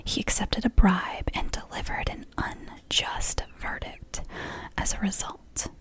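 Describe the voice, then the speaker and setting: Whispering woman reading to soothe. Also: takes it slowly. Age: 30s. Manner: whispering, chatty asides and apologies. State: sore throat from whispering. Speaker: ASMR creator. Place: home.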